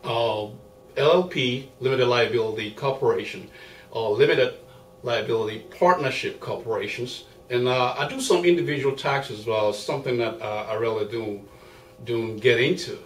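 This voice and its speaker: A man from Liberia with a Liberian accent, giving a guest interview in a measured, formal way with many fillers. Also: medium pitch. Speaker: guest, accountant and business owner. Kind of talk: interview answers. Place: small makeshift home studio. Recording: roomy, distant mic with faint hiss.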